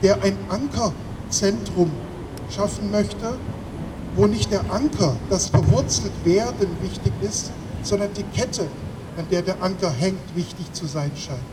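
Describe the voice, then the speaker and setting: High-voiced man giving an echoing rally speech. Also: a little slow. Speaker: speaker at a refugee-rights demonstration. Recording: echoing, distant microphone, loud ambient traffic noise.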